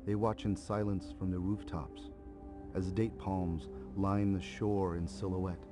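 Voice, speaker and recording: A man's voice: deep voice, level tone, measured pace. A man giving a solemn poetry reading. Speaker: poem reader. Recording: music behind the voice. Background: music.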